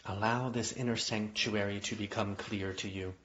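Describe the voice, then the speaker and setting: A man guiding a yoga nidra meditation, speaking in gentle, invitational phrases with long pauses. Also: lowish voice, steady tone, a little hiss or hum. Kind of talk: guided meditation narration. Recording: dull, muffled lo-fi audio.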